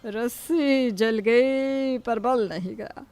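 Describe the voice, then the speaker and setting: A woman's voice: a little high, very slow, a little mellow, soft background music. A woman playing a taunting, gossipy middle-aged neighbour in a cartoon story, sarcastic and needling. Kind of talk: Hindi cartoon story acting.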